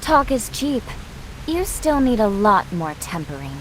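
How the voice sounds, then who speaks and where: An actress playing a stern, scornful female martial sect gatekeeper in a video game, speaking in a measured, commanding way over music. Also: mid-range voice, sing-song, fairly bright tone, slight background noise, low-quality recording. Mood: dismissive. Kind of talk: video game cutscene acting.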